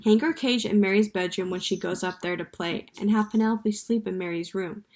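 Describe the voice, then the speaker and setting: A woman voicing a calm, reassuring older woman giving advice in a children's story. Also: mid-range voice, fairly quick, lightly acted. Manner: soothing and sure.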